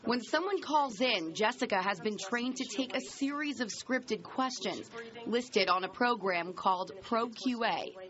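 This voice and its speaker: A woman's voice: on the high side, a little slow, hardly any pauses, muffled recording, a crowd in the background. An American woman narrating a scripted television news report as the reporter.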